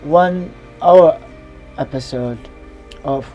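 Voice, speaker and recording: A woman's voice: sits low, very slow, warm tone, dull audio, faint music behind. A woman from Iraq, hosting her TV show in a reflective, rambling monologue with loose grammar. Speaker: TV show host.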